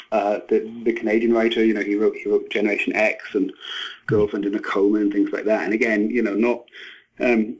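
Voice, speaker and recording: A man in his forties giving a thoughtful interview, speaking haltingly with repeated words and many verbal hedges. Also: fairly low pitch, slightly rough, low-quality recording. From Scotland. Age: 40s. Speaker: interview guest, novelist and screenwriter.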